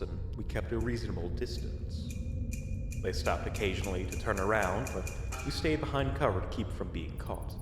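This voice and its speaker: Man playing a low-voiced, wry fantasy mage. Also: lightly acted. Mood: measured, suspenseful. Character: wry male fantasy mage adventurer recounting his quest. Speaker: narrator and player character. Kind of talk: audio roleplay, first-person storytelling with dialogue. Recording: echoey hall reverb over music.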